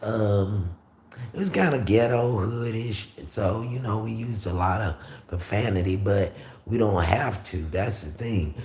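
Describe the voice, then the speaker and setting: A raspy-voiced man from Florida hosting a casual livestream, talking easily and reading out viewer comments. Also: fairly low pitch, darkish tone. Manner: rambling, easygoing, frequent fillers and restarts. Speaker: livestream host. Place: room at home with the windows open. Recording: roomy echo with faint street noise.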